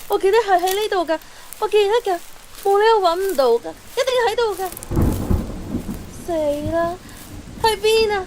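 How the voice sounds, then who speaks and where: A woman's voice: very high-pitched, normal speed, long pauses, background noise. A woman playing a heartbroken, devoted teenage schoolgirl in an audio drama, with pleading, emotional delivery. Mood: desperate, tearful. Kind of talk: Cantonese audio drama acting.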